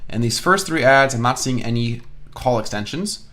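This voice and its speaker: A man's voice: medium pitch, animated, normal speed, a bit bright. A man giving a step-by-step screen-share tutorial as an instructor, talking conversationally and thinking aloud as he demonstrates. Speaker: online marketing instructor. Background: voice-over on a screen recording.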